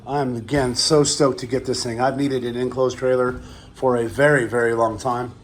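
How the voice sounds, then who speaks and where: A man hosting his vlog with a hoarse, worn-out voice, chatting excitedly about a new trailer. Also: mid-range voice, normal speed. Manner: excited, enthusiastic, loose and chatty. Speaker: vlog host. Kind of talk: casual vlog narration. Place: outdoors at a trailer lot.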